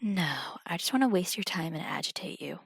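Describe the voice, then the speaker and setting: A woman's voice: fairly low pitch, animated, a little fast, creaky, slight background noise. A woman playing a bossy, sarcastic, cocky combat trainer in an audio drama. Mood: smug, teasing.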